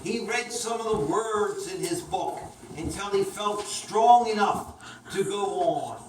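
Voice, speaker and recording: A woman storyteller reads a children's tale slowly and dramatically. She is recorded from a distance in an echoey room, with clear noise behind her. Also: medium pitch, expressive. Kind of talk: scripted children's story narration. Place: indoor room, picked up from a distance.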